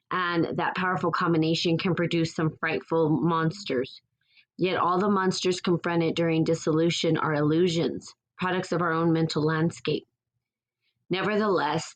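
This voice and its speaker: Woman podcast host, low raspy voice, meandering reflective delivery. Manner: rambling and reflective, with frequent restarts. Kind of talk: casual spiritual podcast talk.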